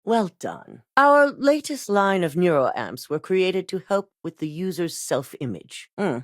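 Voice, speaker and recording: An actress voicing a composed, commanding female corporate CEO in a video game, speaking in a measured, authoritative, businesslike way. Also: lowish voice, sing-song, no room sound, clean studio sound. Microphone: dry studio voice recording.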